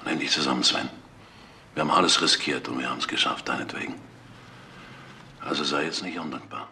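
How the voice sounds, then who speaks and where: A cold, controlling man in a crime radio play. His deep voice sounds a little away from the mic, with obvious noise. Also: a little fast, bright, forceful tone, far from the mic, heavily acted. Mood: cold, pressuring. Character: domineering, cold, controlling male businessman. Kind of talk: naturalistic German radio crime drama.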